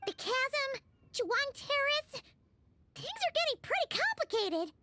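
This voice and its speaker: A woman voicing a small, excitable, curious fairy companion in a video game, with a squeaky childlike voice and perky manner. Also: unhurried, background noise, heavily acted. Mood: puzzled. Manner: perky, refers to herself by name.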